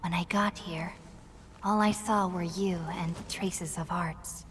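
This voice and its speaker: A woman's voice: lowish voice, steady tone, slow, a little hiss or hum. A woman playing a sober companion in a fantasy video game. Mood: concerned. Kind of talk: dramatic video game voice acting.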